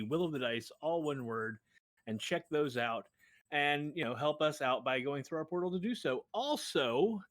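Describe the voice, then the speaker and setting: A man's voice: mid-range voice, fairly bright tone, no echo. A man giving a casual, ad-libbed sponsor read in a chatty, jokey, enthusiastic way. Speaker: show regular reading sponsor announcements.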